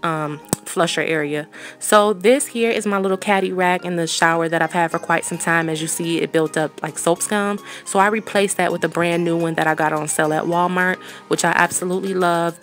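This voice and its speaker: Chatty woman's low voice-over with music. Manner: relaxed, chatty, with frequent ums and you-knows. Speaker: cleaning vlogger. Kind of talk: voice-over narration of a cleaning video.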